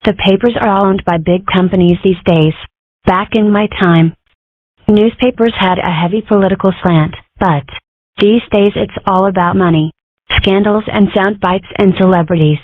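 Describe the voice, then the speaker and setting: A woman's voice: mid-range voice, moderate pace, no room sound, natural voice. A woman voicing a wry old grandmother, a retired schemer with a conspiratorial way of talking. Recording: dry, phone-like lo-fi sound.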